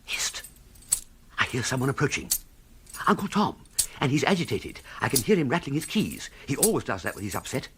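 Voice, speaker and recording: A young upper-class English gent in a radio comedy. He is hapless and flustered, with a bright voice that swoops wildly up and down. His talk is breezy and full of exclamations. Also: mid-range voice, wildly swooping pitch, fairly quick, a little hiss or hum. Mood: hushed, wary. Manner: breezy, exclamatory period slang. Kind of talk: radio comedy drama acting.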